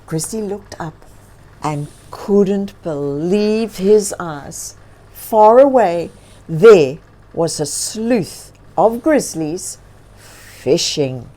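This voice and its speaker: A woman storyteller reads a picture book to children in a mellow, slightly low voice. She takes her time and adds expressive emphasis, with little asides to her young listeners. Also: extremely slow. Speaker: children's read-aloud storyteller.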